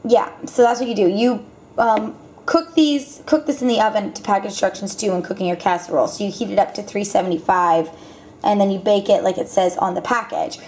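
A woman's voice: mid-range voice, a little fast, darkish tone, a little hiss or hum. Woman, home cooking vlog, chatty and loose, clinking pans, camera mic in a kitchen. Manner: chatty, lots of ums and self-corrections. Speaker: cooking vlogger. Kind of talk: casual cooking demonstration. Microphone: camera mic, filming over the stove. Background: clinking pots and utensils.

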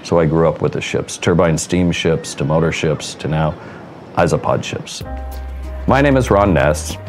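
A man's voice: very deep voice, moderate pace, faint music behind. A man from Seattle, a cruise ship hotel director, explaining operations with pride in a documentary interview. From Seattle, United States.

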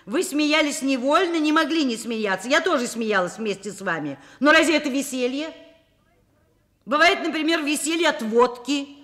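High-voiced, dignified middle-aged woman professor. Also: animated, moderate pace, fairly bright tone. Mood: earnest appeal. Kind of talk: Soviet radio play acting, storytelling. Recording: music and crowd noise behind. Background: music and crowd.

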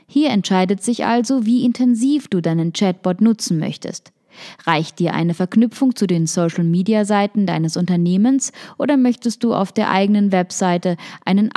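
A woman's voice: mid-range voice, mellow. A woman reading a scripted podcast narration, like a written article read aloud.

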